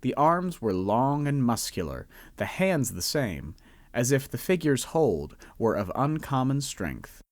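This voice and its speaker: A male narrator telling the story at an unhurried pace, his pitch rising and falling expressively. The recording is dry and close, with no room sound at all. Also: no echo. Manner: measured, expressive storyteller delivery.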